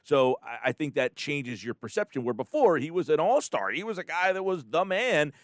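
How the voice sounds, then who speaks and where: Clear-voiced man hosting sports talk radio. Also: a little high, sing-song, no echo, clean studio sound. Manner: hesitant, halting questions with many ums. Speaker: sports radio host, interviewer. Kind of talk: casual sports talk interview.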